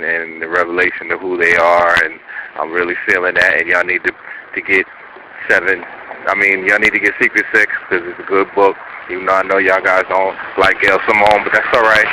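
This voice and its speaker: A man leaving a loose, halting, rambling voicemail for a podcast. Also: low-pitched, flat delivery, background noise.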